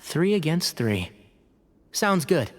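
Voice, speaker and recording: A man playing a calm, tactful young scholar-doctor in an anime-style video game, gentle, measured and articulate. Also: on the high side, faint music behind. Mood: reflective.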